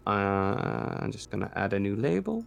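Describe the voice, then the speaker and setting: A man acting as game master, narrating and ruling on a tabletop roleplaying game in a casual, halting way that repeats phrases. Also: on the low side, very animated, creaky, a little hiss or hum.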